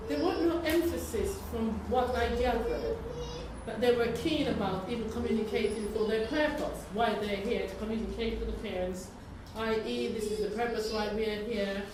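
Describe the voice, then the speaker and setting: Female community witness, reflective and formally worded, distant recording with clear noise. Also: a little high. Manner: measured, formal wording. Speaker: community member giving testimony. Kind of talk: reflective spoken account. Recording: very echoey, distant, noisy room.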